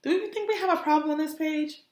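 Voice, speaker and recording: High-voiced woman reading aloud to young children. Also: quick pace, reverberant, slight background noise. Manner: expressive, prompting young listeners with questions. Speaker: kindergarten teacher. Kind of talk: animated children's read-aloud with questions to listeners.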